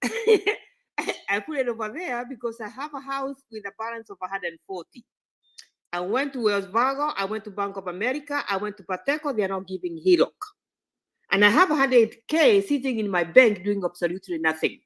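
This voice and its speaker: A woman's voice: mid-range voice, animated, moderate pace, a bit bright. A retired woman from Kenya calling in to ask about a loan, with nervous laughs between her sentences. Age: older. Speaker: caller. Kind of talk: live call-in chat.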